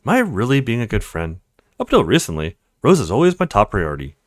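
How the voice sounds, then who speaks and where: A man's voice: on the low side, huge pitch swings, a little fast, no room sound, clean studio sound. A man voicing a loyal, protective young male best friend in a visual novel, speaking earnestly and bluntly to reassure. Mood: reflective. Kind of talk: visual novel character read-aloud.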